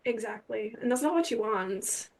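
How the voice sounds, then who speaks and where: A woman's voice: a little high, lively intonation, moderate pace, gravelly. A young adult woman speaking candidly and conversationally as an interview guest.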